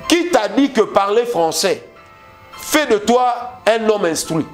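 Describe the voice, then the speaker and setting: A man's voice: sits high, big pitch swings, takes it slowly, soft background music. A man with a Congolese French accent preaching a sermon, stressing his points with repeated phrases and rhetorical questions.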